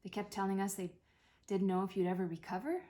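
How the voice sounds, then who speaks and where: A woman's voice: medium pitch, little pitch movement, quick pace, mellow, lightly acted. A woman reading a play as an anxious, wounded grown daughter of a dying mother, defensive, sardonic and blunt in manner.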